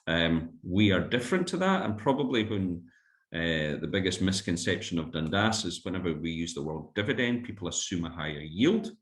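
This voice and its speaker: A man's voice: sits low, animated, a little fast. A man explaining investment concepts to investors in a webinar, with fillers and restarts as he goes. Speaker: fund manager presenting a webinar.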